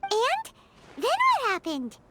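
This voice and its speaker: A little fairy companion from a video game, curious and caring. The voice is squeaky and childlike, pitched extremely high and bouncing wildly in melody. Loud noise sits behind it. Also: female voice, wildly swooping pitch, slow pace, full character voice. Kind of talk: scripted video game dialogue.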